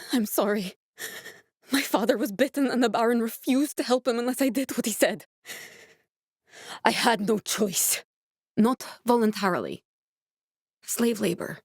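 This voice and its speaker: Game role: guarded, earnest woman scientist, medium pitch, naturalistic measured delivery, studio-dry sound. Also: husky, no room sound. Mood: regretful. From born in Norway, raised in Glasgow (character). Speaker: video game character voice. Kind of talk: naturalistic video game dialogue acting.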